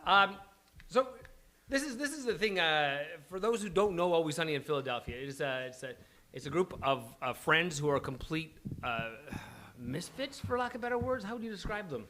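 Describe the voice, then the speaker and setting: Man, live host, forceful bright tone, unscripted, stop-and-start, self-mocking, mild swearing. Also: a little high, animated. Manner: halting, scattered, self-deprecating, swears casually. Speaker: host of a live script-reading event. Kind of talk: casual unscripted stage introduction. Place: live event venue before a small audience.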